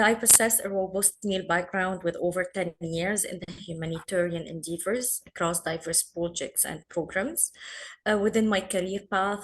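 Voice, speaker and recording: A woman's voice: lowish voice, slight background noise. A woman from Lebanon, speaking formally on a webinar panel over a video call, sometimes hesitant. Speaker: panelist, program monitoring manager.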